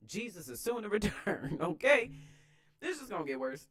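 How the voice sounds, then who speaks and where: Man, American accent, fairly high voice, casual commentary, emphatic, conversational, repetitive for emphasis. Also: very animated, normal speed, a bit bright. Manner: emphatic, conversational, slangy, repeats phrases for emphasis. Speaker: host of a read-aloud channel. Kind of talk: casual opinionated commentary during a read-aloud.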